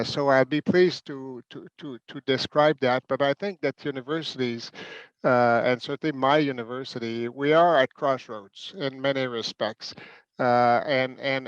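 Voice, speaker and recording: Measured man with a smooth voice. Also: medium pitch, no echo, dull audio. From Canada. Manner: measured, with frequent fillers and restarts. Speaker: university president. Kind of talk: panel talk.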